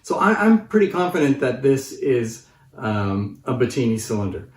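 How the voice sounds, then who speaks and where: A man's voice: mid-range voice, very animated, moderate pace. A man in his fifties presenting informally to camera as a collector, explaining things in a conversational way. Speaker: presenter and collector of antique phonographs. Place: living room, seated on a sofa. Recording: roomy, distant microphone.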